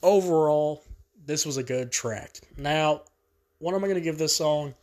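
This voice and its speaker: Man, fairly high voice, congested, loose rambling music-review host. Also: a little slow, a little mellow. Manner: rambling, repeats himself, casual slang. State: stuffed up from allergies. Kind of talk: casual spoken review.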